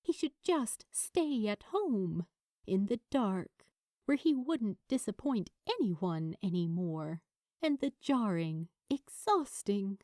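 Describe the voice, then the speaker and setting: A woman reading a story as an audiobook narrator, with dramatic, deliberate phrasing and pauses. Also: mid-range voice, sing-song, mellow, no echo, studio quality. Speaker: narrator of fan fiction.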